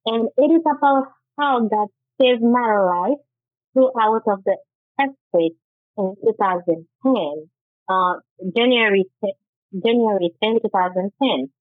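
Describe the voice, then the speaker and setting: Lady telling her story, halting and earnest, thin phone-line sound, dry. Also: on the high side, dry recording. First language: Haitian Creole. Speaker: guest author. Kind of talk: interview, personal testimony.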